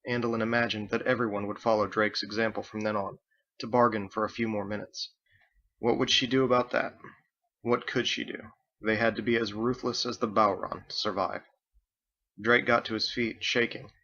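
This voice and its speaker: Guy narrating his own audiobook, dramatic, bright punchy lowish voice, bit lo-fi. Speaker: author reading own book.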